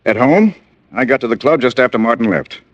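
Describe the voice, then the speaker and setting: An actor playing a reserved, polite lawyer in an old radio detective drama, on a muffled recording. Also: male voice, mid-range voice, expressive, a little fast, no room sound, close to an everyday voice. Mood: measured. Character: reserved, polite male lawyer in a crime case.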